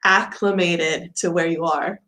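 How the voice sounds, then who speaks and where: A woman's voice: on the low side, steady tone, measured pace, pushed and bright, poor audio. A woman from the United States hosting a livestream, chatting casually, laughing often and asking her guest questions. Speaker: livestream host.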